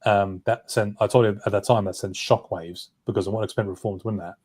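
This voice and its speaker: Dude talking politics super fast, low mellow voice, keeps correcting himself. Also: male voice, fairly even intonation, slightly rough. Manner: talkative, runs on with asides and self-corrections. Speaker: guest commentator. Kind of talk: political discussion.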